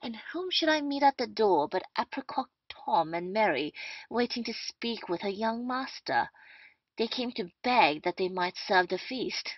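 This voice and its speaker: A woman's voice: a little high, lively intonation, a little slow, dry recording. A woman playing a bustling, boastful, talkative male captain in an audio play of a period comedy. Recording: dull, lo-fi, dry audio.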